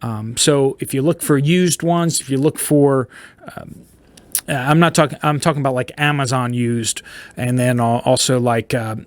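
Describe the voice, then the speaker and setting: A man's voice: mid-range voice, steady tone, moderate pace, no echo. A man hosting a casual product review, explaining gear to viewers in plain, informal layman's terms. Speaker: host of a prepper radio review channel.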